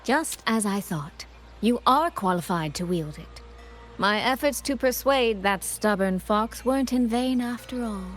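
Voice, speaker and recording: A woman playing a composed, secretive young elder sister in a video game, speaking in a measured, playfully teasing way. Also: on the high side, expressive. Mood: calm, sincere. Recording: music behind the voice.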